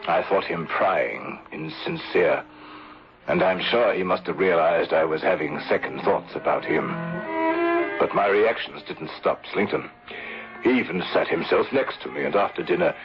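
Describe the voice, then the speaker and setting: An actor playing a shrewd, reserved English insurance manager in a radio drama, speaking in a measured, formal, dry way. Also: male voice, low-pitched, soft background music. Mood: suspicious. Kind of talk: radio drama acting and narration. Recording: dull, lo-fi 1970s radio recording.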